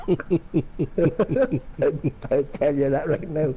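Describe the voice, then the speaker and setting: A man with an Irish accent bantering casually and teasingly while gaming. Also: on the high side. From Ireland. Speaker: co-host gamer. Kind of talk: casual joking chat during gameplay. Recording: muffled voice chat with faint noise.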